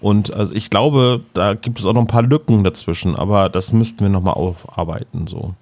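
A man's voice: fairly low pitch, steady tone, fairly quick, darkish tone, no room sound. A man hosting a podcast in a casual, chatty style. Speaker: podcast host. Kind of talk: casual podcast chat and announcements.

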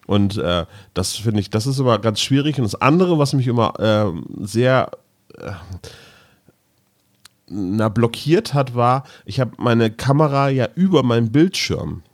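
Lower-pitched man chatting on a podcast. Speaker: podcast host. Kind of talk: casual podcast chat.

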